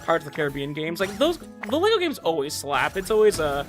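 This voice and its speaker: A man's voice: on the high side, wildly swooping pitch, fast, a bit bright. A man livestreaming a game, chatting casually in an enthusiastic, rambling way and often restarting his sentences. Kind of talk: casual chat while streaming gameplay. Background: music.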